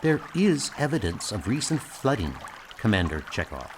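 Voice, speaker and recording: A man's voice: lowish voice, big pitch swings, normal speed, noisy background. A man voicing a logical female alien starship officer in a comic audio drama, precise and formal. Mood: analytical.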